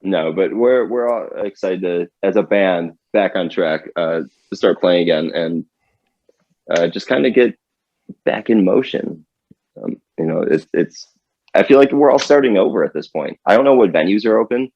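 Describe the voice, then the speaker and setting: A man's voice: low voice, animated, moderate pace, lo-fi sound. A man in his thirties, a podcast guest chatting casually, rambling with many restarts and laughing often. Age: 30s. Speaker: podcast guest, band member. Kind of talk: casual chat.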